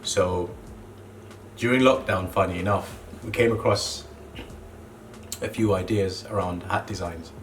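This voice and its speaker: A young man who grew up in Zambia, a clothing brand co-founder, talking casually and relaxed, with lots of "you know" fillers. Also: sits low, measured pace. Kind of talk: casual interview monologue. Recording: distant mic with clear background noise.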